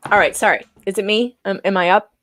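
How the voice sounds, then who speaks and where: A woman's voice: medium pitch, fast talker, a bit bright. A woman chatting casually as a livestream co-host, amused and giggly in manner. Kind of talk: casual banter and play-reading.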